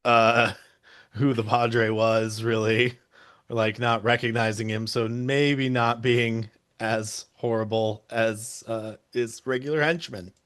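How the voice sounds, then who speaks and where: A man at a tabletop game works through his moves out loud in a loose, casual way. He jokes lightly as he plans, at an ordinary middle pitch. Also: fairly even intonation, slow. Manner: casual, thinking aloud, joking. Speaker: player.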